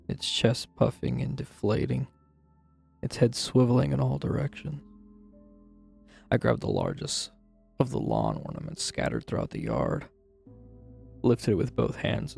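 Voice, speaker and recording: Man performing a troubled, bitter male narrator, very heavy vocal fry, husky, brooding horror audio drama delivery, music underneath. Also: mid-range voice, darkish tone, full character voice. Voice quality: gravelly, creaky, husky. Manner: brooding, deliberate, dramatic storytelling. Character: troubled man confessing a grim childhood memory, guilty and bitter. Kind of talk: first-person dramatized horror story narration.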